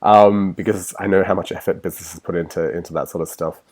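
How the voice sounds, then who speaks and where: A man's voice: sits low, fairly even intonation, fast talker, darkish tone. An Australian man with a cold, presenting a marketing webinar over slides in a casual way, with lots of ums and restarts. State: has a cold, sniffling.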